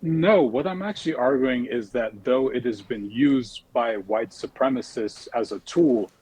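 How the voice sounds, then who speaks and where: A man's voice: medium pitch, unhurried, a little mellow, breathy edge, low-quality recording. A young man from the United States, a debate guest who speaks politely and a bit hesitantly. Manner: polite, hesitant, uses fillers. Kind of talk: debate argument.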